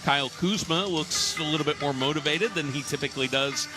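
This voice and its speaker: A man's voice: fairly high pitch, fairly quick. A man giving live halftime commentary as host of a basketball radio broadcast. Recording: music behind the broadcast talk.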